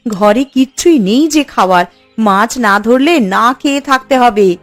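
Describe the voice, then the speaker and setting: Woman playing a high-voiced scolding village mother. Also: measured pace, soft background music, natural voice. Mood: bossy. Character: scolding, hard-working poor village mother. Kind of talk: Bengali cartoon voice acting.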